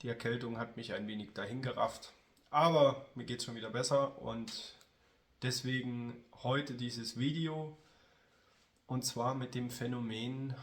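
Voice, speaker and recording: A man with a cold, addressing his viewers in a calm, chatty way as he narrates a hobby video. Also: mid-range voice, creaky. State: has a cold. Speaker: model railway YouTuber. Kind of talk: casual spoken video narration.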